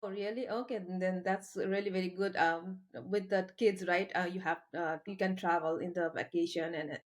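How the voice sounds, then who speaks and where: Halting Nepali-accented woman hosting a podcast. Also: fairly low pitch, fairly even intonation. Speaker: podcast host. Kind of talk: casual interview.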